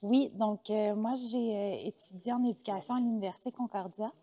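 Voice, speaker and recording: Québécois woman, 30s, mellow, chatty interview guest, hesitant delivery. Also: medium pitch, steady tone, normal speed. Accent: Québécois French. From Quebec, Canada. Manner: hesitant, many filler sounds, warm and talkative. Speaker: interview guest, former teacher turned entrepreneur. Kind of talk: casual interview conversation.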